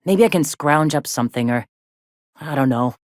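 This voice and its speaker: A woman voicing a gruff, plainspoken middle-aged frontier medic in a video game, with a blunt, folksy way of talking. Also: low voice, expressive, moderate pace, darkish tone, no room sound, studio quality. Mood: tired, blunt. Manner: folksy, blunt, drawling slang with swearing. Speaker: video game character, medic and deputy leader.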